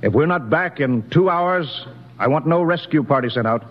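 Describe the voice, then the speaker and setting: A man's voice: a little high, animated, moderate pace. A man playing a cool, authoritative spaceship captain who gives clipped military orders, in 1950s radio drama style. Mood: commanding. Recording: noisy muffled 1950s radio recording.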